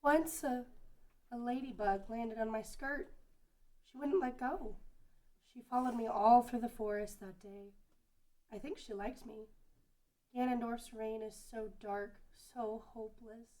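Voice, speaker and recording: A woman's voice: a little high, steady tone, measured pace, mellow, lightly acted. A woman playing an innocent, earnest young girl who loves bugs, in an amateur fantasy table read. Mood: wistful hope. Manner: childlike, simple and earnest. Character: innocent bug-loving young girl, sweet and childlike. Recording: distant from the microphone.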